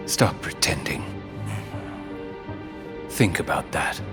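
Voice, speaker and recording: An actor playing a stern, menacing commander in a video game, curt and imperious. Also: male voice, very deep voice, slow, loud music behind the voice. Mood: cold, threatening. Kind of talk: video game cutscene voice acting.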